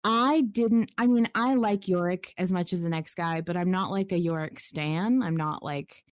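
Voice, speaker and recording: A woman with a somewhat low-set voice hosts a casual fan chat. She is effusive and slangy and often steps aside from her own point. The sound is dry, with no room on it. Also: moderate pace, no echo. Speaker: podcast host.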